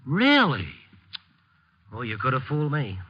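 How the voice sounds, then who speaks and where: A man's voice: mid-range voice, wildly swooping pitch, a little slow. Wry, hard-boiled radio newsman. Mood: wry, needling. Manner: dry wisecracking delivery. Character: wry, sardonic, hard-boiled male newspaper reporter. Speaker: lead actor and narrator. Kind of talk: 1950s radio drama acting. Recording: muffled old 1950s radio recording.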